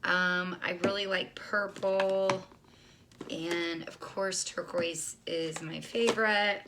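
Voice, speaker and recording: A woman with a scratchy, hoarse voice from being sick, casually talking through a painting tutorial with lots of ums and restarts. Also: lowish voice, fairly even intonation, slow pace. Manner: chatty, many ums and restarts. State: hoarse, recovering lost voice. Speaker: painting instructor.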